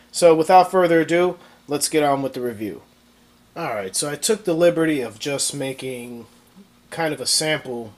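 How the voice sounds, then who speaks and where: A man with a stuffed-up nose from a cold, chatting through a casual product review in a relaxed way, with frequent ums and restarts. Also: medium pitch. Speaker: video maker reviewing products.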